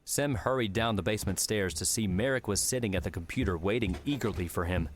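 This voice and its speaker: A man's voice: on the low side, fast, noisy background. A man narrating an audiobook, reading a scripted adventure story in a dramatic storytelling style.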